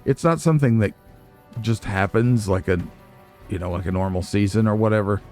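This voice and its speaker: A man's voice: on the low side, brisk, a little mellow. A man hosting a video game playthrough, chatting casually and musing aloud with wry asides. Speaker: let's play host. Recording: game music behind the voice.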